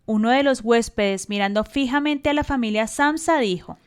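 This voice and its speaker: A woman's voice: a little high, moderate pace, clean tone. A woman reading scripted narration as the storyteller of a radio drama.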